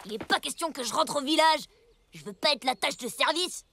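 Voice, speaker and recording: Cartoon boy: shrill, animated, boastful, grumbling, quick to take offence. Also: vocal fry, slight background noise, exaggerated voice. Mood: sulky, huffy. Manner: brash, grumbling, slangy. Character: boastful, touchy, mocking young boy. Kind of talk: French children's cartoon dubbing.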